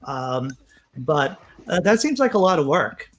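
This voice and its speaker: A man's voice: fairly high pitch, very animated, unhurried, fairly bright tone. A man hosting a casual online quiz chat, rambling and self-deprecating. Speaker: quiz show host.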